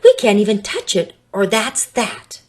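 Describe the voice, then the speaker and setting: Woman playing a haughty, animated storybook woman. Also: medium pitch, measured pace. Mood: patient. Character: curious, haughty, stubborn woman in a garden fable.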